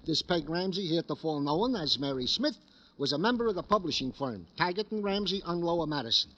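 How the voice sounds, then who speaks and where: An actor playing an eager police sergeant sidekick in an old radio drama, talking in flowery, roundabout mock-formal phrases. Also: male voice, fairly high pitch, animated, a little fast, a bit bright. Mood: proud, verbose. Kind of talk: 1950s radio drama comic-relief acting. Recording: dull vintage radio recording.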